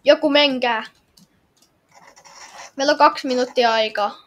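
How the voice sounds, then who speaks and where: High female voice, gameplay chat, excitable, dramatic exclamations, pleading. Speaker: gamer playing and reacting.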